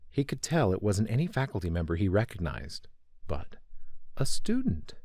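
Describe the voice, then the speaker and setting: Low, expressive male story narrator. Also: dry recording, studio quality.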